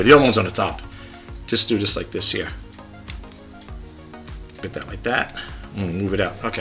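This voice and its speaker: A man vlogging a casual tech demo at his home desk, chatty and rambling, in a low-key, fairly monotone voice. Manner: chatty, rambling, demonstrating gadgets. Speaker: YouTube vlogger.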